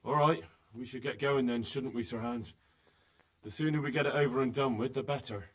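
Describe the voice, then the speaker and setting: A man's voice: mid-range voice, a little fast, dry recording, lightly acted. A young, dutiful male squire, played by a man, with a mid-range voice. He asks plain, direct questions in a game cutscene. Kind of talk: video game cutscene acting.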